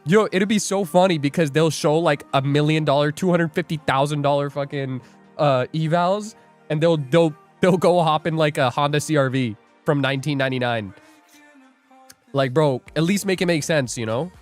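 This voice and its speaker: A young man hosts a live trading stream, joking, rambling and laughing as he reads out his chat. His voice sits a little high for a man. Faint music plays under the talk. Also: moderate pace. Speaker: livestream day trader host. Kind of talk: casual livestream chat.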